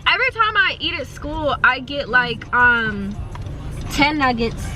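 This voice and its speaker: A young woman in her late teens vlogs to a camera stuck up in her car, chatting in a loose, rambling and playful way. Her voice sits a bit high for a woman, and clear music plays behind her. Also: big pitch swings, measured pace, poor audio. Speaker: college student and influencer. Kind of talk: casual vlog talk to camera. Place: in a car and at home. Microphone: camera mounted in a car.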